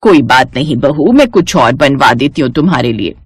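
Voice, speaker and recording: Woman doing a low-pitched, indulgent older mother-in-law. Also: animated, moderate pace, husky, dry recording, clean studio sound, full character voice. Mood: soothing. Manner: fawning, indulgent. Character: doting, indulgent older mother-in-law, dismissive of elder daughter-in-law.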